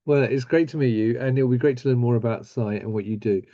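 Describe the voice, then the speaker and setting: A man's voice: medium pitch, fairly even intonation, fast, mellow, no room sound, dull audio. A man hosting a business interview, asking friendly, upbeat questions. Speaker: host. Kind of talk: business interview over video call.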